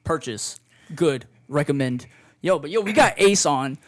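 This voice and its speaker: A man's voice: on the high side, expressive, unhurried, no room sound. Chill young guy hosting a podcast, slangy and loose, dry close sound. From Florida. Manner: easygoing, slangy, laughs often. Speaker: podcast host. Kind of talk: casual chat.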